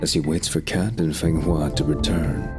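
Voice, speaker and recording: Very deep male narrator over music. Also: fairly even intonation, normal speed. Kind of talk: scripted story recap narration. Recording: music under the voice.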